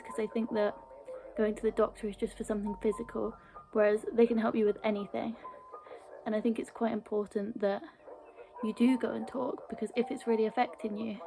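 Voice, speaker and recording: A woman's voice: a little high, mellow, rough recording. A young British woman with a mild stammer who talks earnestly to camera in a casual personal style and sometimes repeats small words. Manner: earnest, with small word repetitions. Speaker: video blogger. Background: background music.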